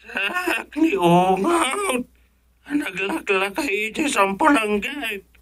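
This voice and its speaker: An actor playing a cranky, wailing old village elder in an Ilocano radio comedy, scolding in an Ilocano accent. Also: male voice, high voice, expressive, slow pace, pushed and bright, exaggerated voice. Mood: indignant.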